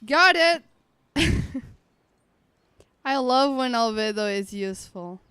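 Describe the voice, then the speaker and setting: A woman's voice: a little high, animated, slow pace, sharp tone, clean tone, no room sound. A woman game streamer giving casual, playful live commentary with somewhat hesitant phrasing.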